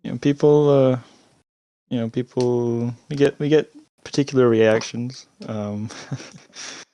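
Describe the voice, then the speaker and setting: A man's voice: medium pitch, fairly even intonation, measured pace, mellow, poor audio. A man speaking as a guest in a casual online chat, rambling with lots of fillers.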